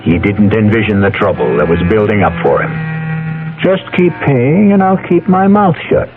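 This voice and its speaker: A man delivers dramatic scripted narration as a radio mystery host. His low voice rises and falls widely, with faint music behind and the narrow sound of old radio. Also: sing-song, normal speed. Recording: tinny old radio broadcast over music.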